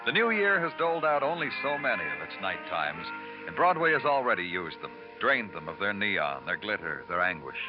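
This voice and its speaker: A hardened, melancholy city detective in an old radio crime drama. His very low voice is measured and poetic. It sounds dull and muffled, with prominent music. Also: sing-song. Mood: somber, poetic. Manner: poetic, measured, brooding delivery. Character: hardened, melancholy New York homicide detective. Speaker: narrator and lead actor.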